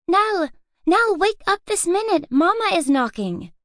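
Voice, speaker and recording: A woman doing an excitable chattering little girl. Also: extremely high pitch, no room sound, studio quality, voice pushed up, exaggerated voice. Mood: excited, hurried. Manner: excitable, hurried. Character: bustling chattery young girl. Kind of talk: audiobook character reading.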